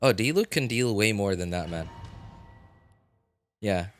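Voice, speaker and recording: A man live-streaming a game, chatting casually with viewers and answering chat in a relaxed, chatty way. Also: lowish voice, a little slow, faint music behind. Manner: frequent fillers and restarts, laughs easily.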